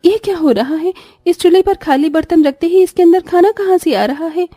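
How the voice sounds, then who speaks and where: A woman voicing a poor, good-hearted young daughter-in-law, earnest and expressive, in a cartoon story. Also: very high-pitched, a little fast, no room sound, faint music behind. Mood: amazed.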